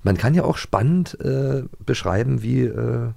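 A man's voice: medium pitch, lively intonation, a little slow, creaky, mellow, dry recording, clean studio sound. A man co-hosting a podcast in casual chat, with a wry, playful way of talking. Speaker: podcast co-host.

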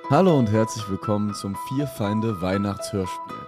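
A man's voice: on the low side, moderate pace, over music. A man hosting and narrating an improvised comedy audio play with mock-solemn delivery. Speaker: podcast host and narrator.

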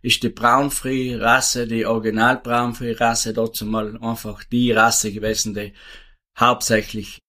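A man in his seventies with a South Tyrolean German accent, a retired farmer who answers interview questions in a rambling, halting way. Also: medium pitch, level tone, bright, forceful tone. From South Tyrol, Italy. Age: 70s. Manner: rambling, halting, with many restarts and fillers.

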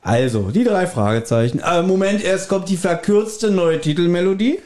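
A man's voice: high voice, lively intonation, normal speed, a little mellow. A man hosting a podcast, giving a casual recap partly read from his notes, with playful irony. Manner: playfully ironic. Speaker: podcast host.

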